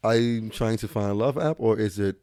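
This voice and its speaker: A man co-hosting a podcast interview, joking playfully and chiming in with quick asides. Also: lowish voice, warm tone, clean tone. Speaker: guest co-host interviewer. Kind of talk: casual chat.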